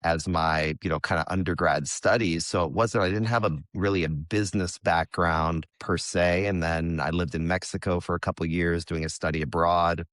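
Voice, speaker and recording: A man with a low, deep voice and an American accent talks candidly as a podcast guest. His delivery is loose and conversational, with the odd swear word. The recording is close and dry. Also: fairly even intonation, normal speed, hardly any pauses, a bit bright, no echo. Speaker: podcast guest, real estate investor. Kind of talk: casual interview talk.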